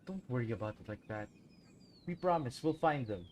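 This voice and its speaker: A man's voice: mid-range voice, lively intonation, fairly quick, slight background noise. A man streaming a video game, giving short, casual reactions as he plays along with the dialogue. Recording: loud game music behind.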